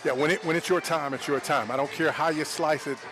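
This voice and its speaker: A man's voice: a little high, fairly even intonation, brisk, music in the background, a crowd in the background. A man giving conversational football analysis as a color commentator, plain-spoken and folksy, repeating his points.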